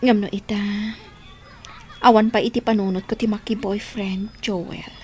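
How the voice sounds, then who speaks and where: A woman's voice: medium pitch, steady tone, normal speed, darkish tone, lightly acted. A woman playing a kind young student in an audio drama, with an Ilocano Filipino accent. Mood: tender, hesitant. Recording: faint ambient background noise.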